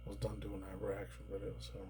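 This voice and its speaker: A man rambling through casual news commentary, swearing often and laughing between remarks, his voice slightly raspy and tired. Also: fairly low pitch, monotone, fast, very noisy. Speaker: commentary YouTuber.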